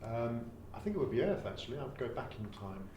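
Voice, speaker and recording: A man's voice: medium pitch, sing-song, fast. A man auditioning, giving brief, slightly hesitant answers in a casual interview. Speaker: audition candidate. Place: indoors at a university. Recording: very echoey room, distant mic, faint noise.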